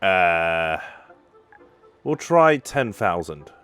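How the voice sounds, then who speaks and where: Very deep-voiced man commenting on gameplay. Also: sing-song, very slow, fairly bright tone. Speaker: gaming video host. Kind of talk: casual live gameplay commentary. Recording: game music behind the voice.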